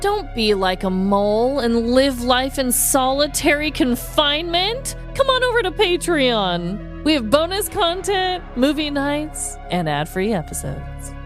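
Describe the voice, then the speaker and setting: A woman's voice: sits high, sing-song, a little slow, few pauses, soft background music. A woman co-hosting a podcast in casual comedic chat, slangy, chatty and emphatic. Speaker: podcast co-host.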